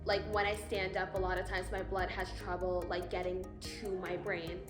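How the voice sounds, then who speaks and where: A woman's voice: lowish voice, little pitch movement, normal speed, music in the background. Casual eighteen-year-old woman, roomy sound. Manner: casual, frequent "like". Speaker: interviewee.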